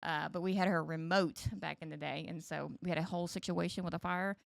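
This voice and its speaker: A woman's voice: lowish voice, fairly even intonation, brisk, creaky, dry recording, clean studio sound. A woman co-hosting a podcast, chatting in a bubbly, playful way. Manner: bubbly, laughing, playful teasing. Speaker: podcast co-host. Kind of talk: casual chat.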